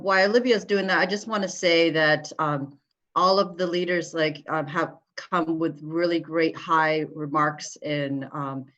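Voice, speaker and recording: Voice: mid-range voice, fairly bright tone. A city program coordinator facilitating a meeting over a video call, upbeat and using many fillers. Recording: dull video call audio.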